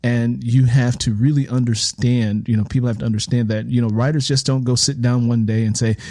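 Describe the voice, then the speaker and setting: A man's voice: medium pitch, steady tone, brisk, mellow, no room sound. A man speaking reflectively and conversationally as an interviewer and writer, with an emphatic manner that repeats phrases for stress. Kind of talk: reflective conversational interview talk about writing.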